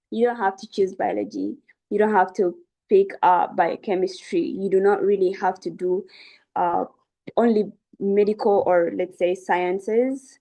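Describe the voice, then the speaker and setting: A woman's voice: mid-range voice, creaky, mellow, poor audio. A young woman giving an informal, encouraging educational webinar talk as a student speaker. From South Sudan. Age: young adult.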